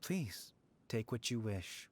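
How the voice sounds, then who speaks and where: A man's voice: lowish voice, very animated, a little hiss or hum. A man voicing a serene, cryptic shrine hermit in a video game, wry and courteous, with poetic, formal phrasing. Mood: gracious, cryptic. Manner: measured, poetic, old-fashioned formal phrasing. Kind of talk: video game character voice acting.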